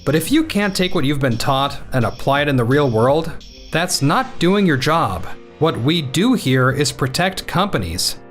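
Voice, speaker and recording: A man's voice: a little high, sing-song, normal speed, faint music behind. A man playing an earnest, principled office manager at a tech firm in an anime-style manga dub.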